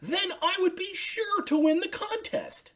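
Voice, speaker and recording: A woman voicing an excitable, fretful young male puppet in a children's storybook, exclamatory and earnest. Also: very high voice, measured pace, voice pushed up. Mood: worried, earnest.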